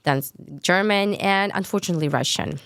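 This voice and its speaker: A woman's voice: mid-range voice, brisk, creaky, fairly bright tone, clean tone, no room sound, clean studio sound. A woman from Ukraine with a Ukrainian accent, a guest telling stories enthusiastically in a conversational interview. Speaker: interview guest, language teacher.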